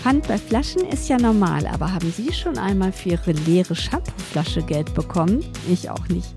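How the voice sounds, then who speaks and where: A woman's voice: on the low side, animated, moderate pace, over music. A woman hosting a business podcast, reading a scripted intro and then asking friendly, upbeat interview questions. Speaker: podcast host and PR lead.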